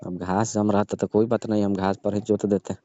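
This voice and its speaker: A man speaks in a low, dark voice, casual and teasing, full of questions. He sounds like one side of a comic phone call, recorded dull and lo-fi. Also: little pitch movement, a little fast, a little hiss or hum. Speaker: caller playing a tractor driver.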